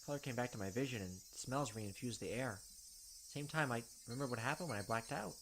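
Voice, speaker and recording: Let's play narrator, male, mid pitch, dramatic reader, faint insect noise behind. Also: a bit bright, low-quality recording. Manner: dramatic reading with quick asides. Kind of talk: reading visual novel text aloud with commentary.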